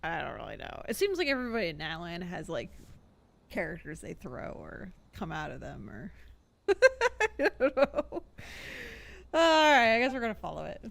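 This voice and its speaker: A woman's voice: lowish voice, wildly swooping pitch, moderate pace, creaky, a bit bright. A young woman chatting casually and informally as she streams a video game, rambling and giggly. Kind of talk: casual livestream chat and game reactions.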